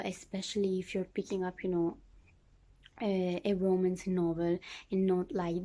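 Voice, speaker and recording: Mellow-voiced young Italian woman vlogging. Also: on the low side. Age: young adult. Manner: chatty, many fillers and restarts, occasional laughs. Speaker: book vlogger. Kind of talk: casual reading vlog talk.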